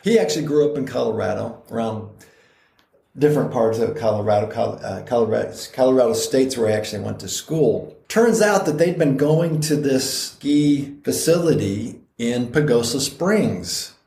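A man's voice: medium pitch, animated, normal speed, gravelly, dark tone, reverberant, a little hiss or hum. A middle-aged man co-hosting a podcast, chatting in a rambling way with frequent restarts. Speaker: podcast co-host. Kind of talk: casual chat.

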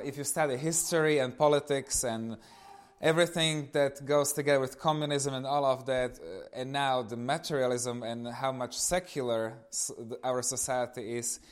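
A man in his thirties with a Slovak accent and a mid-range voice gives an informal personal testimony. He talks to his audience as a guest speaker. His storytelling is animated, with self-amused asides. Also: mid-range voice. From eastern Slovakia.

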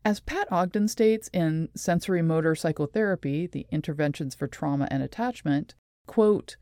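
A woman presenting a scripted explanatory talk in a measured, deliberate and articulate way. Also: fairly low pitch, warm tone, no room sound, clean studio sound. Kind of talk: scripted explanatory narration with read quotations.

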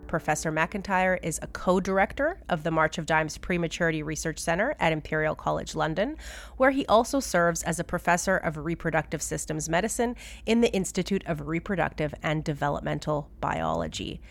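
A woman's voice: sits low, brisk, gravelly. A woman hosting a podcast, introducing her guest and asking questions in a friendly, conversational way. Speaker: podcast host. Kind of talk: podcast interview.

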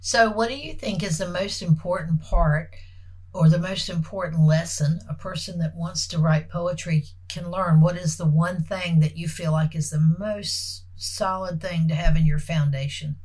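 Low-voiced woman interviewer losing her voice. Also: steady tone, normal speed. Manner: warmly admiring, asks long questions. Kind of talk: casual interview.

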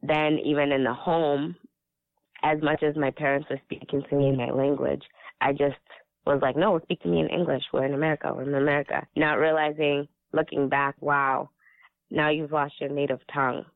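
A man's voice: on the high side, little pitch movement, normal speed, vocal fry, dry recording. A man raised speaking Swahili as his first language, telling his story in an earnest, reflective casual chat. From Africa. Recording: tinny phone-like sound.

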